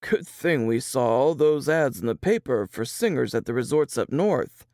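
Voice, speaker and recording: Man acting a gravelly, folksy young-country piano player. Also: mid-range voice, animated, normal speed, darkish tone, no room sound, clean studio sound, natural voice. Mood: practical, reassuring. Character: protective, plain-spoken Southern cousin, a traveling piano player. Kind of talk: dramatized audiobook reading.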